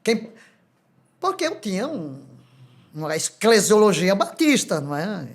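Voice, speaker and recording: A woman's voice: medium pitch, unhurried. Older Brazilian woman telling her testimony. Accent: Brazilian Portuguese. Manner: animated, laughs often, acts out quoted dialogue. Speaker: pastor, podcast guest. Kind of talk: testimony storytelling.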